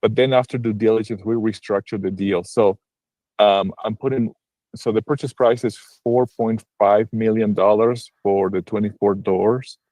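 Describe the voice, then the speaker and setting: A man's voice: lowish voice, steady tone, normal speed, mellow, no room sound. A man from Argentina, a real estate broker, talking on a livestream video call, hesitant with many restarts and fillers. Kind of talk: casual livestream call-in chat.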